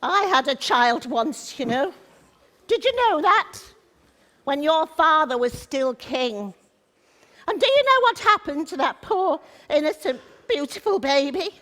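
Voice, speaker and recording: A woman's voice: high voice, fairly bright tone, heavily acted. A woman playing a bitter, vengeful witch in a stage pantomime, taunting and grandly dramatic. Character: bitter vengeful witch cursing a royal baby. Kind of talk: theatrical pantomime stage acting. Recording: reverberant hall, live stage recording.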